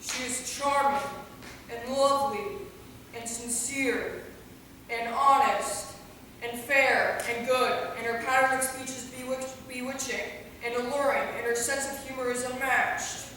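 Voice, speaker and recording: A woman acting cheeky Quinn, high and echoey. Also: little pitch movement, measured pace, pushed and bright. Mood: mock romantic. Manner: theatrical, teasing. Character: Quinn, cheeky mocking sidekick who needles her friends. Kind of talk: staged play reading. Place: theater hall, mic at a distance. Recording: hall echo, distant, faint noise.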